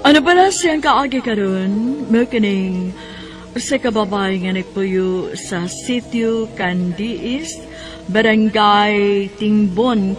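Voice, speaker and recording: Filipina bisaya narrator lady, radio drama, slow scripted delivery, music under it. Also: mid-range voice.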